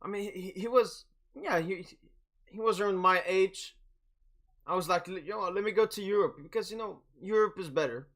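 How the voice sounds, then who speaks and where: A man's voice: high voice, normal speed, fairly bright tone. A young man from Sweden and Algeria hosting a football watch-along in casual, boastful, jokey chat. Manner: boastful, jokey, prone to chuckling. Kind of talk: casual livestream chat, match commentary.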